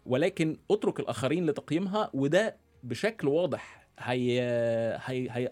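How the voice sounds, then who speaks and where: A man with an Egyptian accent, a guest who gives his views in a reflective, halting way, with many restarts and fillers. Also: mid-range voice, a little mellow. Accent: Egyptian Arabic. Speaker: guest, founder of a dialect initiative. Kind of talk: reflective interview talk.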